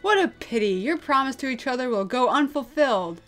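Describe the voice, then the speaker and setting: A woman's voice: sits high, animated, brisk, a bit bright, soft background music. A woman voicing a mad, gloating male villain in a mocking, melodramatic, anime-style performance. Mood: mocking glee. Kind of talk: anime-style dramatic character acting.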